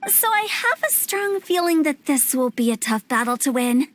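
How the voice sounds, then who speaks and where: A woman's voice: high-pitched, normal speed. A woman voicing a playful, mischievous young funeral director with a teasing way of talking, in a video game cutscene. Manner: teasing, lively, rhyming banter. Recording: game audio with soft music behind.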